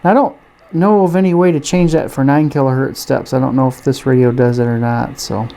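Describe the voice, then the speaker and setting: A man giving a casual, unscripted product review and demonstration in a halting, hesitant way. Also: medium pitch, fairly even intonation, vocal fry, dark tone, rough recording. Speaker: gadget reviewer.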